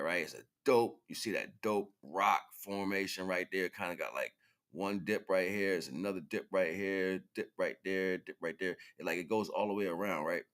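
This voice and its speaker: A man's voice: low-pitched, a bit bright. A man giving casual livestream commentary in informal, slangy talk, repeating phrases for emphasis as he hosts. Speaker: livestream host.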